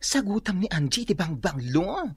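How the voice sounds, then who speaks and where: Campy, swooping Filipino beautician. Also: female voice, on the low side, very animated, normal speed, a little mellow, breathy edge, no room sound, clean studio sound, exaggerated voice. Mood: cheerful coaxing. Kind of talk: radio drama acting.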